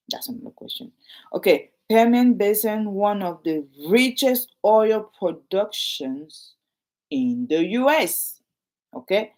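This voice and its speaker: A woman with a French accent, a realtor chatting casually to her viewers while reading from her notes and adding asides. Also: medium pitch.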